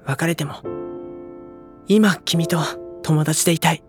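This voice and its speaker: An actor playing a gentle, earnest high school boy who speaks and narrates sincerely in an audio drama. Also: slow, music in the background. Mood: earnest, warm.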